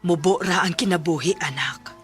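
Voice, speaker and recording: A woman with a Cebuano Filipino accent playing a caring, wise mother in a radio drama, gentle and expressive. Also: on the low side, moderate pace, lightly acted. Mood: gentle, reassuring. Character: caring, wise older mother counselling her widowed daughter. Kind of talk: Philippine radio drama acting. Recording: music bed under the voice.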